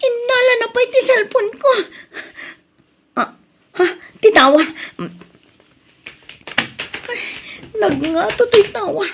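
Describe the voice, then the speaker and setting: A woman's voice: extremely high pitch, animated, slow, vocal fry, long pauses, a little hiss or hum, heavily acted. A woman playing a distressed young wife in an emotional radio drama. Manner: emotional, tearful. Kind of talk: Ilocano radio drama acting.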